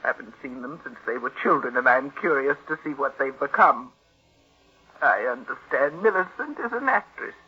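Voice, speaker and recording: A stubborn, wealthy old recluse in an old-time radio drama. Her voice is husky and somewhat low-fi on a muffled vintage recording. She is curt, imperious and suspicious of everyone. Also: animated, normal speed, exaggerated voice. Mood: cool, appraising. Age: older. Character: bitter, stubborn, reclusive rich old invalid aunt. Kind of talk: 1940s radio acting.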